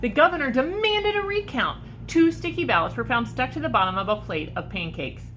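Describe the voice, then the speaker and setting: A woman's voice: high-pitched, sing-song, a little fast, fairly bright tone, faint music behind. A woman reading a picture book aloud to children like a teacher, expressively and with questions to the listeners. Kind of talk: animated storybook read-aloud for children.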